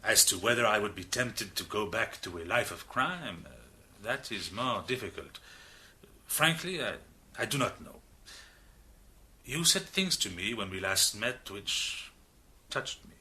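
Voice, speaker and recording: An actor playing a big, expansive, reformed French criminal in a radio drama, with a French accent and an effusive, theatrical manner. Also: male voice, lowish voice, lively intonation, a little slow, bright, forceful tone, full character voice. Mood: earnest, confessional. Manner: effusive, theatrical, with hesitant asides.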